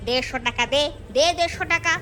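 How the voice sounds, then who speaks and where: A woman's voice: high-pitched, fast, pushed and bright. A woman voicing a greedy, bossy, scheming village wife in a cartoon folk tale. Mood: angry, threatening. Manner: bossy, scolding. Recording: clear music bed. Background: music.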